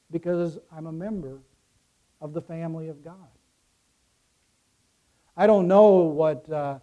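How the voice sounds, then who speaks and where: A male pastor delivers a sermon in a slightly high, mellow voice. He takes his time, setting out short emphatic phrases and letting their endings drop away softly. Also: rough recording. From Ecuador, raised by American missionary parents. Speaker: pastor preaching to his congregation.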